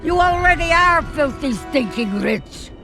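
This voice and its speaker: Evil duck sorceress, very high, eastern european accent, mocking and theatrical, music behind. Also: female voice, expressive, unhurried. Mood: irritated. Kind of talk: cartoon game villain acting.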